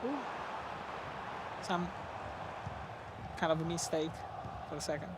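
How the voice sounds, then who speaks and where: A man with an Italian accent, native Italian speaker, doing excitable live esports commentary with frequent laughs. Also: a little high, takes it slowly, over a noisy crowd, heavy background noise. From Italy. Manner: excitable, laughs often, non-native phrasing.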